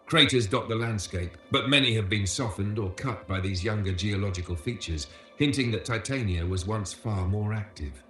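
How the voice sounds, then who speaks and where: A man giving scripted educational documentary narration as a tour guide, in a measured, solemn manner. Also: lowish voice, fairly bright tone, echoey room. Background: music.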